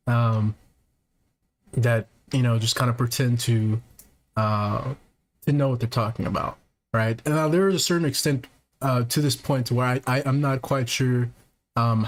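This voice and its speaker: A man hosting a podcast, talking casually to viewers in a soft, halting way with lots of fillers and restarts. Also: mid-range voice. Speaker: podcast host; technician.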